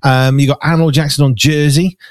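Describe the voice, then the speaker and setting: A man with a raspy, gravelly, hoarse voice, an animated radio host chatting effusively with many restarts. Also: a little high, fairly quick, dry recording, studio quality. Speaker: radio host and magazine editor. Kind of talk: casual conversation. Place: farmhouse.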